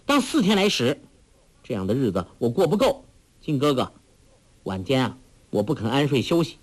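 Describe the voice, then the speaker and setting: A man voicing a clever, bold young woman in a martial arts story, teasing and then imperious in turn. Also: medium pitch. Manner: coy and playful, then imperious.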